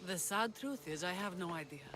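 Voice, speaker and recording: A woman's voice: lowish voice, expressive, moderate pace, sharp tone, faint music behind. A woman playing a passionate young rebel leader of ancient Greece in a video game cutscene. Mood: somber.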